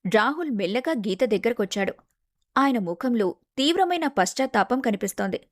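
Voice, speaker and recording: A woman's voice: fairly high pitch, animated, brisk, no room sound, studio quality. A woman reading scripted narration for a family story.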